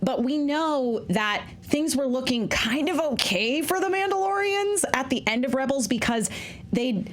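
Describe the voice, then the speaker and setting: A woman on a discussion panel, talking through a series in a casual, excited, fan-enthusiastic way. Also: high voice, unhurried.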